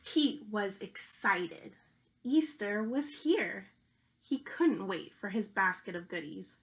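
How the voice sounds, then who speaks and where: A woman teacher reading a children's picture book aloud, speaking deliberately to young listeners. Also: mid-range voice, lively intonation, poor audio. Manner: slow, deliberate delivery addressed to young children. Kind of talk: children's storybook read-aloud.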